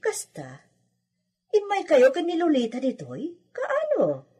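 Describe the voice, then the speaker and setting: A woman's voice: high voice, wildly swooping pitch, slow pace, mellow, full character voice. A woman with an Ilocano accent playing a well-off village elder sister in a radio drama. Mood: questioning.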